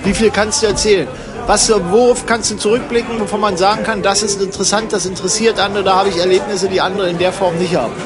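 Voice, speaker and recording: A man's voice: high voice, fairly even intonation, fast, loud music behind the voice, over a noisy crowd. A man in casual, rambling conversation, exclaiming and mock-dramatic in manner.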